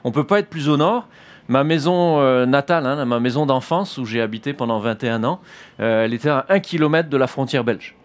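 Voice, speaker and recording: A man in his fifties from northern France with a French accent, a military chaplain giving his testimony in church, pausing often with fillers. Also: mid-range voice. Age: 50s. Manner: hesitant, many "euh" fillers and restarts.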